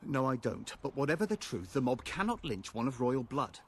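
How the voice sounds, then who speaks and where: Pompous, husky, animated nobleman. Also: medium pitch, brisk. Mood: disdainful. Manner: pompous, lecturing. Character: haughty, self-righteous nobleman. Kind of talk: video game dialogue acting.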